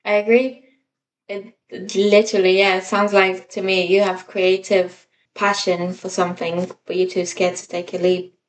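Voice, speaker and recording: A woman's voice: medium pitch, little pitch movement, normal speed, far from the mic, a little hiss or hum, poor audio. A woman co-hosting a podcast in casual chat, informal and self-deprecating, rambling a little as she goes. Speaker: podcast co-host.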